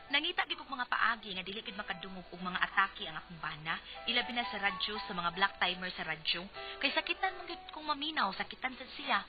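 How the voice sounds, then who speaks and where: Dull lo-fi radio drama: worried Cebuano wife acted by a woman, exasperated, questioning, very lively intonation. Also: mid-range voice, fast talker, music in the background, natural voice. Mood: exasperated, lamenting. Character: Matilde, worried, exasperated wife of a thin-skinned politician. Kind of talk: Bisaya radio drama acting.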